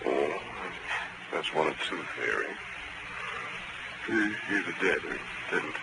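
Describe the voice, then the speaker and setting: An actor playing a wisecracking, hardboiled private detective in a 1940s radio drama, wry, clipped and slangy. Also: male voice, extremely low pitch, expressive, takes it slowly, vocal fry, full character voice. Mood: flustered. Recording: noisy vintage 1940s radio recording.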